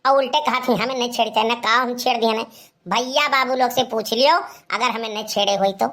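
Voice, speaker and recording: A woman acts out a cheeky, carefree village lad in a cartoon comedy. The voice sits high, with an Awadhi accent. The manner is protesting and impudent. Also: brisk, fairly bright tone, natural voice. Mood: protesting innocence. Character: cheeky, carefree young village man who loves singing.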